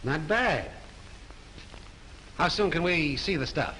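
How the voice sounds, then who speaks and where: Blunt, needling police lieutenant. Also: male voice, a little high, animated, slow pace, sharp tone, a little hiss or hum, full character voice, low-quality recording. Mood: gruff. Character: brusque, sarcastic male police lieutenant. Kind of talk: 1930s film acting.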